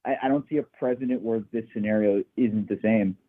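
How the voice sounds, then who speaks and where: A man's voice: on the low side, fairly quick. Hesitant Midwestern man on a tinny phone line. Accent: Midwestern American. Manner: hesitant, restarts a lot, defensive. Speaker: caller. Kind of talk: call-in debate.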